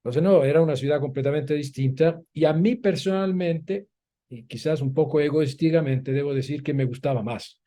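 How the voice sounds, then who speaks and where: Italian-born older man, mellow voice. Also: on the high side, normal speed. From Italy. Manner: thoughtful, hesitant, many restarts and fillers. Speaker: guest academic. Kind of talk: conversational interview answers.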